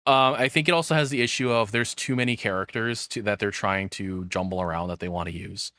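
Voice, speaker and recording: A man with a fairly low, bright and forceful voice talks in a very dry recording. He rambles casually through his opinions like a streamer reacting, blunt and freely cursing. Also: brisk, no room sound.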